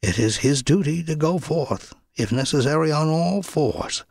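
A man narrating a first-person memoir as an audiobook, with deliberate, emphatic, dramatic storytelling. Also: fairly high pitch, expressive, creaky, darkish tone, breathy edge, no room sound, clean studio sound. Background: music.

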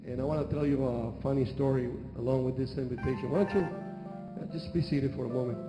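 A middle-aged man with an Argentine Spanish accent preaches from a church stage into a handheld mic, joking and stumbling through restarts. His voice rings with long reverb in a big sanctuary, and music is clearly audible behind him. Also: mid-range voice. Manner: halting, frequent restarts and fillers, humorous storytelling. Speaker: bishop. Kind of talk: sermon. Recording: echoey church hall with music.

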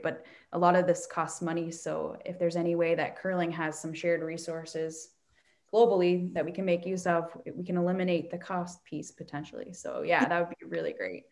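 A woman hosting a workshop on a video call, measured and organized. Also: on the low side, darkish tone. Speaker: workshop host.

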